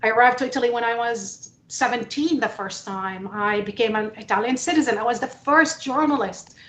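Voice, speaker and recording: Emphatic woman, higher voice, echoey room. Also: a little fast, a bit bright. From Middle East. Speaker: panelist, journalist. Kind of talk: panel testimony, impassioned political talk.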